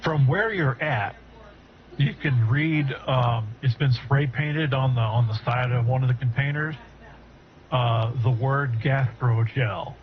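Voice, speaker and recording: Male game master, mid pitch, stop-start narration, dull lo-fi sound. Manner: halting, with many fillers and restarts. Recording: dull lo-fi audio.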